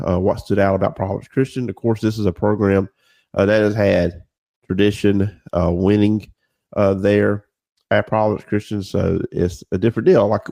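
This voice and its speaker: A man hosts a casual sports podcast in a lowish, gravelly voice. He sounds slightly nasal and congested from a cold. His delivery is informal and conversational, recorded dry and close. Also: dark tone, no echo. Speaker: podcast host.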